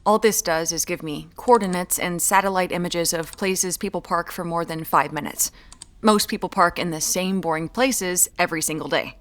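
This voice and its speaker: Actress as a guarded, wry amateur detective. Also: female voice, on the low side, normal speed, slight background noise, lightly acted. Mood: reluctant, focused. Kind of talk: naturalistic audio drama acting.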